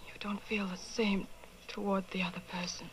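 A woman's voice: medium pitch, little pitch movement, moderate pace, close to an everyday voice. Disillusioned former lover, female actor, radio drama, mid-range woman's voice, emotionally broken, faint background noise. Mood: bleak, resigned. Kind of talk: old-time radio drama acting.

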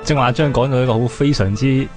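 A Hong Kong man co-hosts a history discussion at a quick pace. He explains in colloquial, conversational language, with a medium-pitched voice. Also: music in the background. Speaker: radio history programme co-host.

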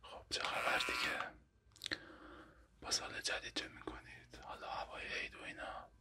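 A young man whispering softly and politely as a barber in a close-up roleplay, with a Persian accent. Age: young adult. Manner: soft polite whisper, addressing the listener as a client. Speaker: ASMR creator playing a barber. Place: dim small room indoors.

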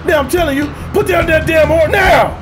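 A man playing a foul-mouthed police lieutenant in an action-parody radio play, blustering and yelling in exasperation. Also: extremely high pitch, moderate pace, over music, crowd noise. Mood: furious.

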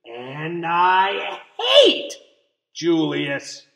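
A man's voice: on the high side, huge pitch swings, extremely slow, creaky, fairly bright tone, full character voice. A jealous little girl mouse , acted out by a man, in a storybook, haughty and theatrical. The delivery swings widely and lingers on words in a roomy space. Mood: indignant. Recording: roomy, echoey room sound.

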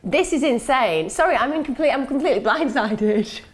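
A woman in her thirties, chatting excitedly at her kitchen table and exclaiming over her results. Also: high voice, fairly quick. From England. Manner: excitable, exclaiming, laughing often. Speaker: channel host. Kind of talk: casual chat reacting to DNA results. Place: kitchen table at home, indoors.

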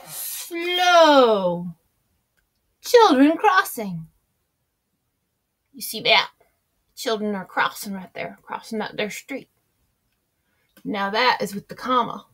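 A woman's voice: mid-range voice, a little slow, long pauses, sharp tone, breathy edge, full character voice. A woman voicing a folksy old grandma who reads a storybook aloud in a chatty, homespun, theatrical way. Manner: chatty and theatrical, gasping and exclaiming.

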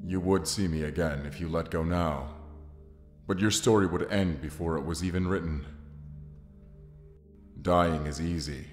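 A man playing a solemn, tender spirit from beyond death in an audio drama, speaking in measured, poetic phrases. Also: deep voice. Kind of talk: dramatic audio roleplay monologue. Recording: long cavernous reverb, music behind.

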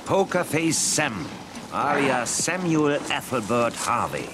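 A man acting a seasoned, fatherly sheriff in a children's Wild West radio play, measured and reassuring. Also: mid-range voice, very animated, over music. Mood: grave, wary.

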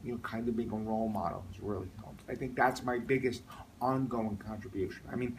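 A middle-aged man with an American accent gives casual interview answers, haltingly, repeating words as he thinks. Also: fairly low pitch, fairly even intonation, slight background noise. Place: classroom or meeting room. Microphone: phone camera a few metres away. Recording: distant phone recording with music over it.

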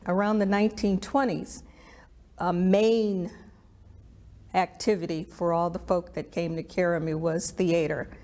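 A woman explaining history in a documentary interview, deliberate and clear. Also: fairly low pitch, steady tone, a little mellow.

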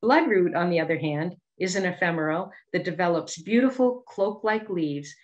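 Female presenter, middle-aged, Boston accent, lowish voice, measured scripted delivery for an educational slide talk on video call. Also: lively intonation, muffled recording. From Boston area, United States. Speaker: master gardener giving a presentation.

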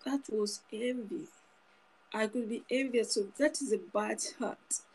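A woman in her thirties preaches straight into a phone camera held close, her voice a little husky and pitched on the high side. She speaks earnestly, going back over her words and restarting phrases as she makes her point. The sound is close and plain, from a small indoor room. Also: measured pace, darkish tone, rough recording. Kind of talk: informal sermon to camera.

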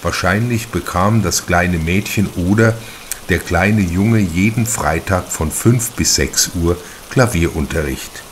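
Deep-voiced, unhurried male audiobook narrator. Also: a little hiss or hum. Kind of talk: scripted crime-story narration.

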